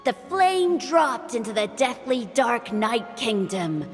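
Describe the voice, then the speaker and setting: A woman voicing a lively young girl of a tribe in a game, telling a legend in a playful, dramatic way. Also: takes it slowly, big-room sound, faint music behind. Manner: playful, dramatic storytelling with big pitch swings. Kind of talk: game dialogue voice acting.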